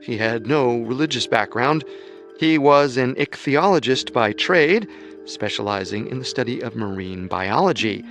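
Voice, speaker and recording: A man giving measured, scripted documentary narration. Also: medium pitch, expressive, a bit bright, faint music behind.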